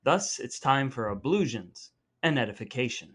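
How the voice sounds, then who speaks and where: A man's voice: medium pitch, expressive, moderate pace, over music. A man co-hosting a podcast, moving between scripted reading and banter in a playful, mock-formal manner. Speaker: podcast co-host. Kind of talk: scripted intros, dramatic reading and banter with co-host.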